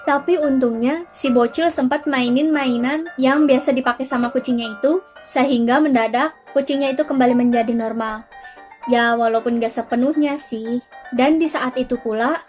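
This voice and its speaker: Young female narrator, high-pitched, chatty recaps of spooky cartoons, light music bed. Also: a little mellow. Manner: chatty, addresses viewers directly, drawn-out emphasis on some words. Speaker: storyteller and channel host. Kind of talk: casual scripted recap narration of spooky animated stories. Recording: voice-over with faint music underneath. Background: faint background music.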